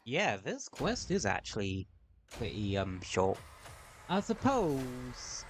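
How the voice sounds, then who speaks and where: A man's voice: medium pitch, huge pitch swings, slow pace, a little hiss or hum. Chatty male game streamer. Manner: chatty, trailing off with fillers. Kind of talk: casual gameplay commentary.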